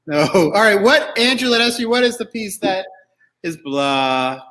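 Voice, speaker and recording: A man's voice: high-pitched, expressive, takes it slowly, fairly bright tone, low-quality recording. A man hosting a show about choral music with casual game banter, chatty and digressive, often interrupting himself as he explains.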